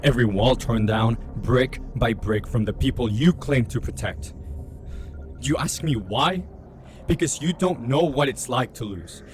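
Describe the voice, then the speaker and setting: A man's voice: medium pitch, unhurried, faint music behind. A man playing a vengeful, grieving villain making theatrical threats in a fan audio drama. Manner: menacing, theatrical speech.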